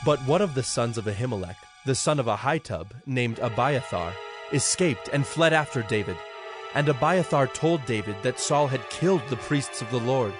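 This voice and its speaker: Casting: male Bible narrator, mid-pitched, formal and measured, music bed underneath. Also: lively intonation.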